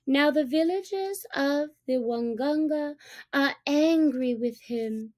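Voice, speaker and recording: A woman voicing a quiet, knowing mother wolf in a storybook, measured and dryly disapproving. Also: fairly high pitch.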